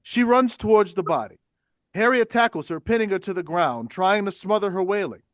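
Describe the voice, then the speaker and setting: Casting: male stage-direction reader, fairly high voice, dry close sound. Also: expressive, moderate pace, dry recording. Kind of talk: scripted narration at a table read.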